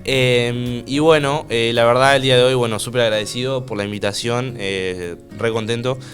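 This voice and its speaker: A man's voice: mid-range voice, fairly even intonation, moderate pace, fairly bright tone, soft background music. Joking young Uruguayan man. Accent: Uruguayan Spanish. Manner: joking, playful, with many fillers. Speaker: political science student, podcast guest. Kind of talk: casual podcast chat.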